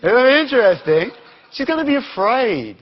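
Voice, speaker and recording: A man's voice: extremely high pitch, sing-song, normal speed, slightly rough, dull audio. A man with an Australian accent giving an interactive conference talk, questioning the audience as he goes. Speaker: conference speaker.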